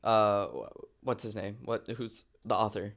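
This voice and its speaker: A man's voice: on the low side, animated, a little slow, fairly bright tone. A man chatting casually as the host of a group live stream.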